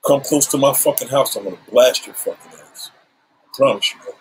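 A man's voice: mid-range voice, a little fast, slight background noise, poor audio. A man in his seventies ranting on a livestream, combative and profane, issuing threats and calling out rivals. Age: 70s.

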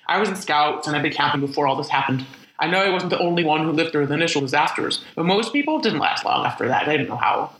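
A man acting in a radio play as a lonely, weary survivor of a disaster, musing aloud in a halting, conversational monologue. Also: fairly high pitch, fairly bright tone, echoey room, rough recording. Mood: resigned, reminiscing. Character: lonely, weary, reflective male survivor wandering after disaster. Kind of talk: dramatic monologue, spoken like an audio diary.

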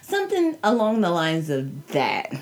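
Woman podcast host, New Orleans accent, lowish voice with lively swings, casual slangy album review. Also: a little slow. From New Orleans area. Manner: chatty and animated, frequent restarts and slang.

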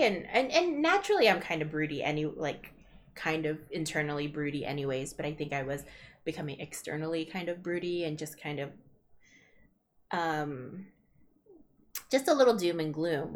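Young woman rambling, thinking out loud on a podcast, laughs a lot. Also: lowish voice. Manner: rambling, reflective, laughs often. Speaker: podcast host. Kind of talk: casual chat among friends.

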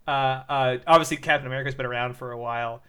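A man's voice: mid-range voice, fairly even intonation, racing, bright, forceful tone, clean tone. A man co-hosting a podcast, chatting casually, hesitating often with restarts and fillers. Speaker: podcast co-host. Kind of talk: casual chat.

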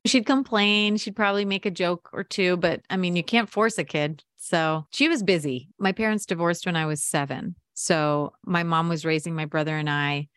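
A woman's voice: fairly low pitch, moderate pace, hardly any pauses, smooth, dry recording, studio quality. A woman from the United States, a podcast guest, telling stories in a casual interview and acting out quoted dialogue. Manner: lively storyteller, acts out quoted dialogue.

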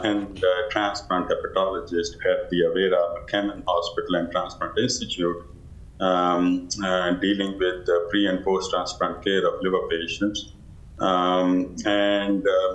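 A middle-aged man, a transplant physician, answering interview questions haltingly over a video call. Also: low-pitched, a bit bright. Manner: halting, many pauses. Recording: roomy, dull video call audio.

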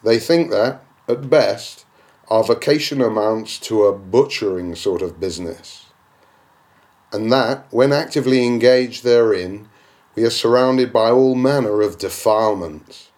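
A man's voice: medium pitch, a little slow, darkish tone. A man reading a classic novel aloud as an audiobook, with rhetorical, declamatory phrasing. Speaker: audiobook reader. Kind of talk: literary audiobook narration.

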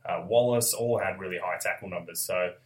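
A young Australian man hosting a casual sports podcast, slightly gravelly from a cold, chatty and quick to laugh. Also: deep voice. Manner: chatty, laughs often, restarts mid-sentence. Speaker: podcast host.

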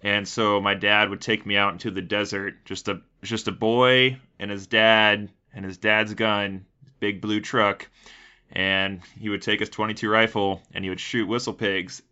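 Bright-voiced, blunt male podcast co-host. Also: fairly low pitch, flat delivery, unhurried, clear, resonant tone. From Texas. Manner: blunt, swears freely. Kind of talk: casual podcast chat, storytelling. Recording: lo-fi muffled audio.

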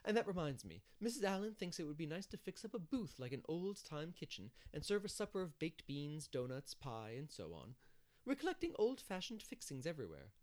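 A man's voice: on the high side, very animated, a little fast, voice pushed up. A man voicing a bustling, opinionated village matron in a storybook, chatty and sure of herself.

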